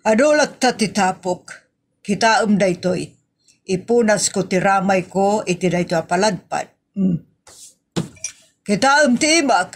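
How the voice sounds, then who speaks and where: A woman playing a demanding, exasperated lady of the house in a comedy audio drama, scolding deliberately with an Ilocano Filipino accent. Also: mid-range voice, lively intonation. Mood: fault-finding.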